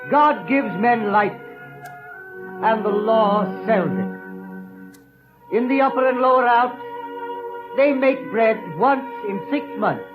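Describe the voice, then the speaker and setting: An actor playing an earnest, compassionate bishop, preaching in a solemn, deliberate manner in an old radio drama. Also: male voice, high voice, big pitch swings, phone-quality sound, over music, exaggerated voice. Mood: solemn, pleading. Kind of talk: 1930s radio drama sermon. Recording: old 1930s radio broadcast.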